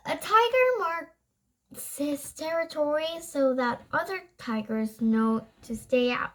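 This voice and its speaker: A young-sounding reader reads a nonfiction book aloud haltingly, stumbling over words and correcting themselves. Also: sits high, lively intonation, a bit bright.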